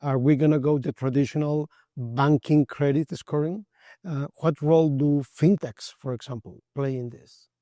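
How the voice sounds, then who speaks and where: A man's voice: a little high, fairly even intonation, moderate pace, dark tone, dry recording. A man conducting an interview at a conference.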